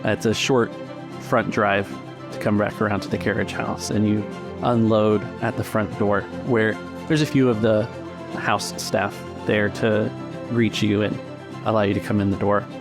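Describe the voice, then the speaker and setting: A man narrating a tabletop game as game master, descriptive and measured, pausing mid-phrase. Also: on the low side, little pitch movement. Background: music.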